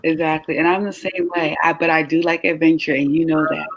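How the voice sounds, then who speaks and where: A woman who is tired and short on sleep, raspy-voiced, chatting casually and lively as a travel business host. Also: low-pitched, fairly quick, rough recording. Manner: lively, talks with her hands in her voice, leaning on questions to the listener. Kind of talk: casual chat.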